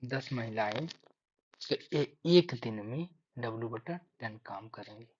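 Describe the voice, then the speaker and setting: A man's voice: medium pitch, lively intonation, slow pace, slightly rough. A man teaching maths step by step for exam preparation, thinking aloud and repeating key figures. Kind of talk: step-by-step lesson explanation. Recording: dull lo-fi microphone sound.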